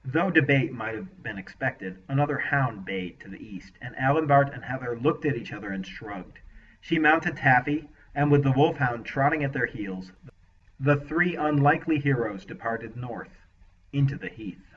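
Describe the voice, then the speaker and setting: A man's voice: mid-range voice, moderate pace, distant mic, lo-fi sound. Man reading his own story aloud. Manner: relaxed, hesitant chat with fillers after the reading. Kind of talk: audiobook narration.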